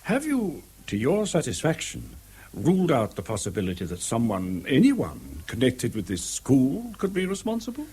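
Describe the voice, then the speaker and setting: An actor playing an older, courteous, pompous school head in a radio drama, speaking formally and deliberately with an English accent and a husky voice. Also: male voice, mid-range voice, huge pitch swings, darkish tone, full character voice. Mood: probing, formal. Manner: formal, deliberate, halting phrases. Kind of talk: 1970s radio drama acting.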